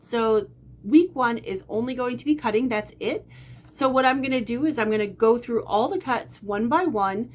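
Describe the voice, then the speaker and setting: A woman in her forties, a little under the weather with a touch of a cold, talks through quilt cuts in a chatty, friendly way. Her voice sits a bit on the high side, and she guides viewers patiently through each step in a small home sewing room. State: slight cold, occasional cough. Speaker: quilting channel host. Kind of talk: casual sewing tutorial.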